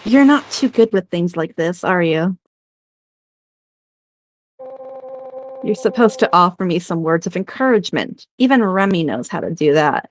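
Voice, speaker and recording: A woman's voice: fairly low pitch, lively intonation, normal speed, slight background noise, close to an everyday voice. A woman playing a young rejected witch, wistful yet teasing, confiding in a conversational way in a game script performance. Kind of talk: fan-performed game script, dramatic dialogue.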